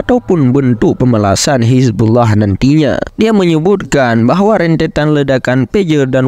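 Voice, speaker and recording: A man's voice: mid-range voice, warm tone, no echo. A man reading scripted news narration in a formal, explanatory manner.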